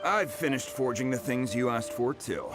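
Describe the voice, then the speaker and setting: An actor playing an easygoing, modest master blacksmith in a video game, speaking in a casual, expressive way. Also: male voice, mid-range voice, normal speed, close to an everyday voice. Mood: agreeable. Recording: clear music under the voice.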